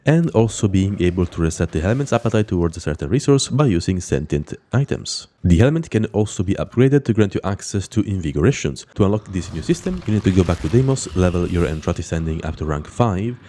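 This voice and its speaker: Young male guide host, under the weather with a cold; low, dark tone, steady explainer delivery. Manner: steady explanatory, then loose chatty sign-off. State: has a cold, voice off. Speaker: gaming channel host. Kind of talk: scripted game guide narration.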